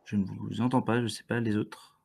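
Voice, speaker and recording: A young man moderating an online panel over a video call, speaking in a hesitant, halting way. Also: fairly low pitch, level tone, vocal fry, a little mellow. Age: young adult. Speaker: webinar moderator. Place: indoor room, on a video call.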